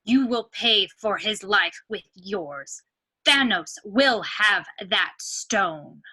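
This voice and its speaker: A woman playing a cold, menacing alien warrior villain with deliberate delivery. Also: medium pitch, expressive, pushed and bright, breathy edge, full character voice, low-quality recording. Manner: slow, deliberate threats. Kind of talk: amateur table read of a film script.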